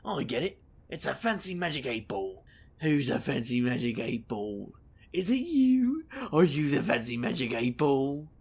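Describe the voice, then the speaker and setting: A man playing a boastful, scheming supernatural investigator in an audio drama, wry, glib and theatrical, with a gravelly, husky voice. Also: mid-range voice, big pitch swings, normal speed, darkish tone. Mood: sarcastic. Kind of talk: audio drama narration and dialogue.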